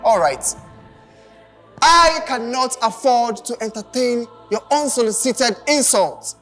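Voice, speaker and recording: A woman's voice: on the high side, animated, slow, fairly bright tone, soft background music, heavily acted. A woman acting on stage as a haughty, quarrelsome senior figure with a Nigerian accent and a disdainful manner. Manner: disdainful, switching between Pidgin and pompous English. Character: haughty, quarrelsome senior who looks down on others.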